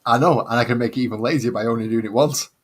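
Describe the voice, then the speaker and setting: A man's voice: medium pitch, racing. A man with a Mancunian accent hosting a casual hobby chat, chatty and self-mocking with a grumbling streak. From northern England. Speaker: host.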